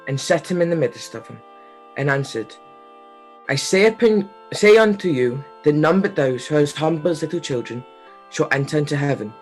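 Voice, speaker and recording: A man plays a young son reading aloud in a radio drama. The recitation is solemn and a little stumbling, swinging widely in pitch, with faint music behind. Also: animated, moderate pace, natural voice, rough recording.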